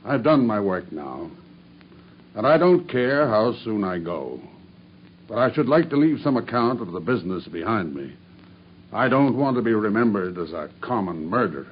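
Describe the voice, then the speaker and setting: An actor playing a grim, dying male cab driver who makes a steady, grave confession in an old radio drama. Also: on the low side, normal speed, a little hiss or hum. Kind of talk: 1950s BBC radio drama acting. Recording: dull old radio broadcast.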